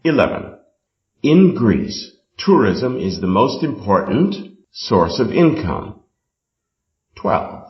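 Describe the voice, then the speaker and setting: In a dull, echoey room, a man reads exam material from a script. His delivery is slow and deliberate, and his intonation rises and falls noticeably as he sets out each instruction. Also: fairly low pitch, big pitch swings. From Canada. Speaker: exam passage reader. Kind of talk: scripted reading of test instructions and questions.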